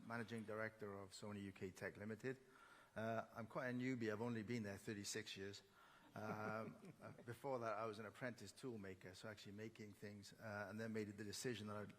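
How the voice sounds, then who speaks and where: Fifties guy on a panel, low voice, relaxed, lots of uhs, echoey hall, faint background hum. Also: fairly quick. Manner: relaxed, plain-spoken, many fillers. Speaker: company executive. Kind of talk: panel self-introduction. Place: conference hall. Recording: hall reverb with faint ambience.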